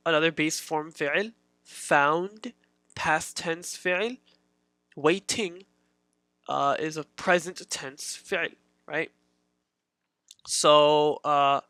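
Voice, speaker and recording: Bright-voiced man teaching a lesson. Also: fairly high pitch, no room sound. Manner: patient, repeats points, many fillers. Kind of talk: casual online lesson.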